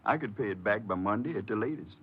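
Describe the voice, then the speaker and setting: Husky, wheedling, pious old American father. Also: on the low side, expressive, fast talker. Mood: wheedling, desperate. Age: older. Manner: folksy, scripture-quoting, cajoling. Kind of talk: 1950s American radio drama acting. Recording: muffled old radio recording.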